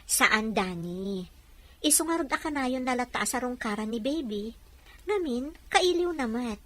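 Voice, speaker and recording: A woman's voice: on the high side, animated, measured pace. A woman playing a good-natured caretaker in an audio drama, explaining things patiently and reassuringly.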